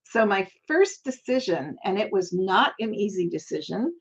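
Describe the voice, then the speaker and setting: A woman's voice: medium pitch, very animated, moderate pace, breathy edge. Chatty older woman, slightly dull audio. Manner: chatty, friendly, laughs often. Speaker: crafting channel host. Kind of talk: casual crafting tutorial.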